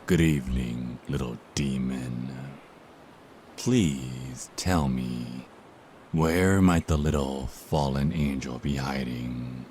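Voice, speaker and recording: An actor playing a haughty, menacing archangel in an audio drama, speaking slowly and with contempt in a gravelly voice. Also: male voice, rumbling low, a little mellow, a little hiss or hum. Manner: slow, imperious, contemptuous. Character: menacing, haughty male archangel hunting a fallen angel. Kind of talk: dramatic audio roleplay acting.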